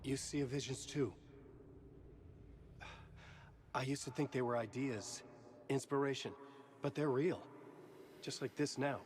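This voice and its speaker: An actor playing a tormented, guilt-ridden horror writer in a video game cutscene, brooding and urgent. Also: male voice, mid-range voice, fairly even intonation, normal speed, a little mellow, lightly acted. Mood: grave, explaining.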